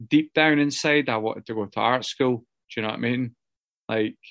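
A Scottish man telling his own story of drink and drugs, halting and candid, with many pauses, fillers and restarts. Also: on the low side, a bit bright, no echo. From Scotland. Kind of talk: personal recovery story, casual monologue.

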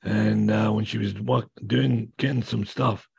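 Older male guest speaker, raspy and gravelly, low flat delivery, blunt and plainspoken. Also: a little slow. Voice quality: raspy voice from damaged vocal cords. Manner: plainspoken, blunt, mild swearing. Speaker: guest speaker sharing recovery story. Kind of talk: personal testimony talk.

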